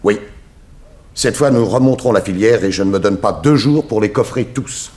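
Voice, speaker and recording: A confident, methodical private detective played by a man in a 1960s radio drama. His voice is low, and he lays out his reasoning with firm assurance. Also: normal speed, a little mellow, natural voice. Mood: decisive. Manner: assured, explanatory, crisp deductions. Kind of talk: 1960s French radio serial acting.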